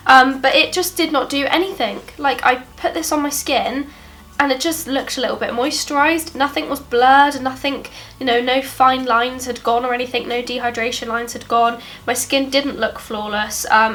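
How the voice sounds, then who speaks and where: A woman's voice: a little high, fairly bright tone. A young British woman with a stuffy nose from a cold, talking through a product review in a subdued, apologetic way. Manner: subdued and apologetic, chatty with fillers. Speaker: beauty vlogger. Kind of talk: casual product review talk.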